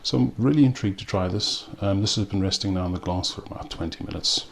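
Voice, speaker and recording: A man from South Africa with a South African accent, reviewing a rum in a relaxed way with frequent pauses and ums. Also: deep voice, vocal fry. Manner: unhurried, with frequent ums and restarts. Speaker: spirits reviewer. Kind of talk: casual spoken tasting review.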